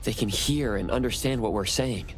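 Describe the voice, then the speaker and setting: An actor playing an inquisitive, matter-of-fact investigator in a video game. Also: male voice, fairly low pitch, moderate pace, soft background music, natural voice, rough recording.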